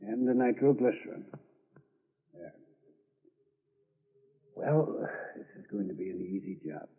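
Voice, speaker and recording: A man's voice: fairly low pitch, lively intonation, measured pace, husky, heavily acted. An actor playing an easygoing, self-assured salesman who secretly cracks safes, in a 1940s radio drama with an old tinny, echoing sound. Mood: businesslike, impatient.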